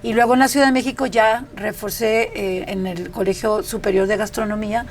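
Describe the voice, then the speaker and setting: A woman's voice: medium pitch, steady tone, a little fast, a little hiss or hum. A middle-aged woman, a chef telling her story as a guest in a chatty, anecdotal way. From Mexico. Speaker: chef and restaurant founder, guest. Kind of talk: casual interview chat.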